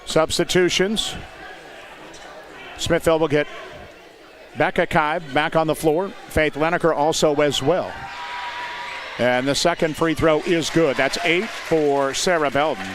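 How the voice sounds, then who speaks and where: Higher-voiced American man calling basketball. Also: steady tone, measured pace, long pauses. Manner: clipped descriptive phrases. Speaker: play-by-play announcer. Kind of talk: live high school basketball commentary. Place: indoor high school gymnasium. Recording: noisy gym with loud crowd. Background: crowd noise and bouncing basketballs.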